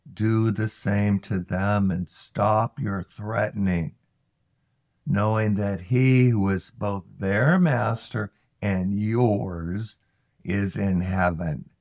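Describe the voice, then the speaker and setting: A man reciting scripture from a text in a measured, emphatic way, phrase by phrase. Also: fairly low pitch, no echo. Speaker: scripture reader. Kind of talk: scripted Bible reading, recited aloud.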